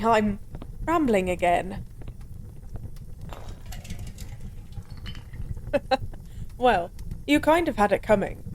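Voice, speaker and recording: A woman's voice: mid-range voice, darkish tone, lightly acted. A woman playing a drunk, melancholy stranger who was once a god, confiding in a wistful, rambling, hesitant way in an intimate audio roleplay. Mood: sheepish, amused. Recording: clear background ambience noise. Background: bar ambience.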